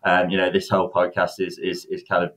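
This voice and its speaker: A man's voice: low voice, completely flat delivery, a little fast, a bit bright, poor audio. A man hosting a podcast, asking his guest structured questions in a friendly, casual way. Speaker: podcast host.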